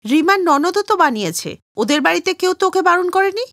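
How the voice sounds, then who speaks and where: A stubborn young housewife from a cartoon story, acted by a woman. She insists and complains in a high, swooping voice, recorded dry. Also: sing-song, fairly quick, a bit bright, dry recording, heavily acted. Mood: stubborn, demanding.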